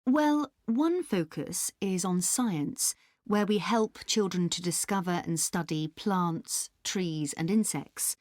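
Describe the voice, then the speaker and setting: A woman playing a helpful country park staff member in a scripted dialogue, explaining things deliberately. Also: fairly low pitch, darkish tone, no echo, studio quality. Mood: helpful, informative. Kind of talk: scripted listening-test dialogue.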